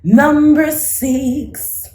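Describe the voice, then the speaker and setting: A woman from Nigeria, co-hosting a casual couples chat, talking in an animated, emphatic way. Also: sits high, very slow, reverberant. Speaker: co-host.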